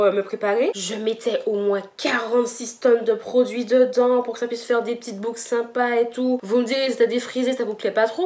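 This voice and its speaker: A young woman chatting casually through a home hair tutorial, slangy and playfully dramatic. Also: fairly high pitch. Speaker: hair vlogger.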